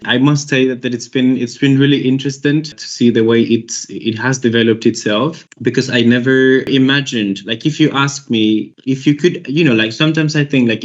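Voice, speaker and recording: A young man from Costa Rica with a Costa Rican accent, a recruiter chatting casually on a video call, rambling with many restarts. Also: mid-range voice. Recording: dull lo-fi call audio.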